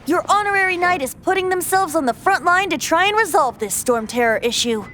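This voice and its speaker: Breezy youthful game bard, high-pitched, playful and teasing, light music underneath. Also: female voice, lively intonation, a little fast, sharp tone, heavily acted. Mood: cheerful, teasing. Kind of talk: video game voice acting.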